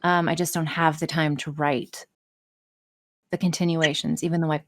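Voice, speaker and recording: A woman's voice: low-pitched, flat delivery, moderate pace. A woman chatting casually as a podcast guest, candid, enthusiastic and free with swearing. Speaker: podcast guest, audio script writer and performer.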